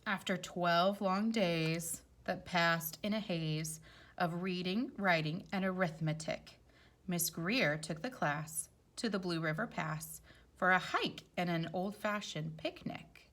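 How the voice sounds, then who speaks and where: Lower-voiced woman reading a storybook. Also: slow pace. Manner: expressive, explains for young children. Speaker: elementary school teacher reading aloud. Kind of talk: children's storybook read-aloud with chatty asides.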